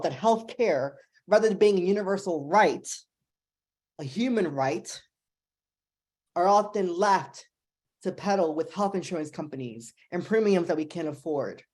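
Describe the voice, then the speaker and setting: A young woman with a New Jersey accent delivers an impassioned speech to a panel audience. She talks quickly and emphatically, leaning hard on her appeals. Her voice sits a little low for a woman. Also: a little mellow. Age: young adult. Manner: fast-talking, emphatic, pleading. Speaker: symposium panelist.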